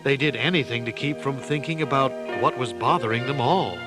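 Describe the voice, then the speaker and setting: A man narrating a children's adventure story in a deliberate, theatrical style. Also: medium pitch. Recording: loud music behind the voice.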